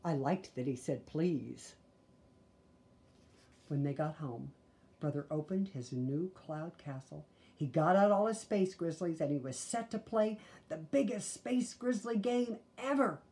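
A woman reads a children's storybook aloud, deliberate and expressive, with chatty asides. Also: low voice, slight background noise. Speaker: storybook reader. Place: small living room, indoors.

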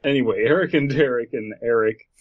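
A man's voice: mid-range voice, brisk, dry recording. A man co-hosting a podcast, casually riffing and reading aloud, on a dull, muffled mic. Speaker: podcast co-host.

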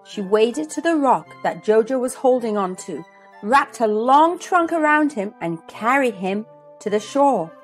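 A woman reads a picture book aloud for children as host. Her voice is high and animated, and she takes the words slowly and expressively. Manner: expressive, deliberate. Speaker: host and storybook reader. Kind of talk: scripted children's story read-aloud. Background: music.

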